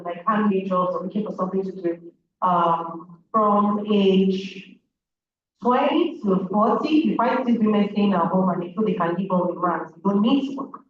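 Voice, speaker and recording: A woman with a Nigerian accent, a native Igbo speaker, giving an earnest, emphatic talk as a speaker at a public event. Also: mid-range voice. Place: large event hall. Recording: echoey hall, distant muffled mic, audience noise.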